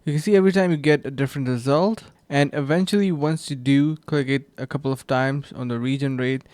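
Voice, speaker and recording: A man hosting a screen-recorded software tutorial. He explains the steps casually and says "uh" and "um" often. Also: medium pitch, fast, dry recording. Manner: casual, step-by-step, with frequent fillers. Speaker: tutorial host.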